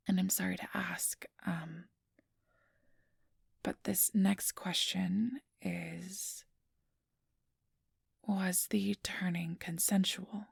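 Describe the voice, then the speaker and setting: A woman playing a kindly, apologetic registration clerk in an ASMR roleplay, speaking softly and often in a whisper with a gentle, reassuring manner. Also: lowish voice, no echo, clean studio sound, exaggerated voice. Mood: gentle, careful. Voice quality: soft, breathy, often whispered. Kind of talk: ASMR roleplay, soft whispery speech to the listener.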